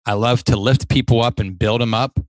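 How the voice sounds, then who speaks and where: A man's voice: medium pitch, steady tone, brisk, no echo. A man hosting a podcast interview, talkative and enthusiastic, rambling a little as he sets up questions. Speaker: podcast host. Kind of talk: casual interview chat.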